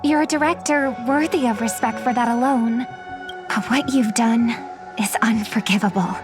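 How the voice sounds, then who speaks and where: A woman's voice: fairly high pitch, moderate pace, music in the background, natural voice. A woman playing an earnest, resolute young heroine in a video game, speaking sincerely and firmly. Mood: earnest, reproachful. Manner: sincere, firm. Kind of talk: dubbed anime game dialogue.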